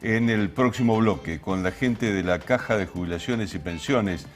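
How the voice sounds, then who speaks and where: A man's voice: fairly low pitch, normal speed, faint music behind. A man with an Argentine accent hosting an interview show in formal, winding, solemn phrases. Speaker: host.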